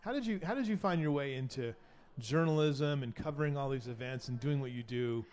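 A man hosting a casual interview show, chatty and quick to laugh, often restarting his sentences. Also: mid-range voice, a little mellow. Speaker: podcast host.

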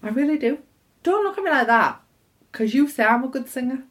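A young woman, chatting casually and excitably on a podcast, swearing freely. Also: high voice, fairly quick. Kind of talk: casual chat.

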